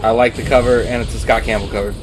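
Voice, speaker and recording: A man's voice: medium pitch, flat delivery, fast, background noise. A man streaming a game, rambling casually with lots of ums and the odd swear word. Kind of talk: casual chat while gaming. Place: at home, streaming.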